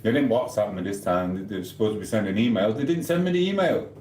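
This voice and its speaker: A man's voice: fairly low pitch, animated, fast talker, darkish tone, roomy sound, slight background noise. A middle-aged man from Sheffield with a Yorkshire accent, chatting and mock trash-talking through a late-night live stream from his kitchen. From Sheffield, England. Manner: banter-heavy, mock trash-talking, rambling. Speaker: livestreamer.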